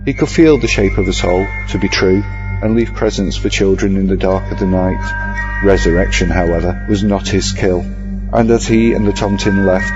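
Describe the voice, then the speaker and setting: A man with a very low voice narrates a horror tale. His delivery is measured and literary. Music plays prominently behind the slightly dull recording. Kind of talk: scripted horror story narration.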